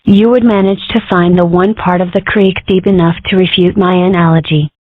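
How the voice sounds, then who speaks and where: A woman's voice: lowish voice, fairly even intonation, brisk, background noise, lightly acted. Phone-like woman's voice for a sarcastic cartoon schoolgirl. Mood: sardonic. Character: sarcastic, rebellious young schoolgirl with fur. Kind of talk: scripted comic-strip dub. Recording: muffled, phone-like narrow band.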